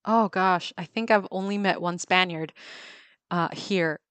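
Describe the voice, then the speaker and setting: A woman with a slightly low voice chats away while she draws on a livestream. She laughs easily and keeps pausing on ums and half-finished words. Her voice is close to the mic and dry, with no room sound. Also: a bit bright, clear, resonant tone, no room sound. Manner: chatty, laughs often, many ums and restarts. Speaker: livestreamer drawing on a tablet. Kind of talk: casual chat while drawing.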